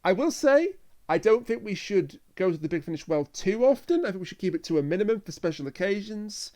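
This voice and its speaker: A man's voice: high-pitched, sing-song, brisk, darkish tone. A man co-hosting a casual review discussion, talking earnestly and repeating himself for emphasis. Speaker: podcast co-host.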